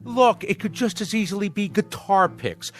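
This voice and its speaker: A man's voice: high-pitched, animated, moderate pace, a bit bright. An actor playing a haughty, bossy man in a radio drama, sarcastic and dismissive. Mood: scornful. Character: haughty, bossy, scornful businessman type. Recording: music bed under the dialogue.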